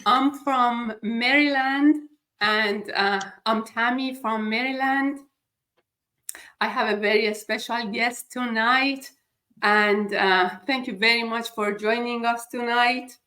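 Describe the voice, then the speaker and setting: Slow, hesitant woman hosting a live. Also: a little high, steady tone, bright, forceful tone. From Iran. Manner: slow, hesitant, frequent fillers. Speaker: host. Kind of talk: hosting a live-stream chat.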